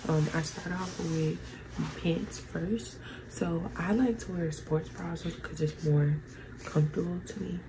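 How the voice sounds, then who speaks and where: A woman's voice: on the low side, fairly even intonation, measured pace, creaky, noisy background. A young woman with a stuffy nose, chatting casually to viewers as she gets ready in her bedroom. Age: young adult. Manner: chatty, rambling, addresses viewers as y'all. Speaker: vlogger. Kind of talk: casual get-ready-with-me chat. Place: bedroom at home, indoors.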